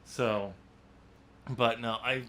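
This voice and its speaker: A man hosting a podcast in casual, unscripted discussion, talking in a halting, rambling way as he thinks aloud. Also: mid-range voice, fairly even intonation, sharp tone. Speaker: podcast host and amateur novelist.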